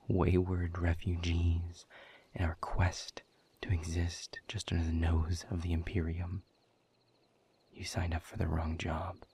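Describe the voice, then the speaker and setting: A man playing a sardonic, world-weary demon director in a scripted audio roleplay, speaking in dry, sarcastic, wordy drawls with a husky, breathy low voice. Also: a little mellow, slight background noise. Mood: grim, bitter. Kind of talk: scripted audio roleplay monologue addressed to the listener.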